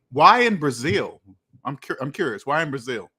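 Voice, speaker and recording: American male livestream host, slightly high voice, casual and rambling, circles back on his phrases. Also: very animated, unhurried, pushed and bright, slightly rough. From United States. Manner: rambling, repeats phrases for emphasis. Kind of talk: casual livestream chat, answering viewer comments.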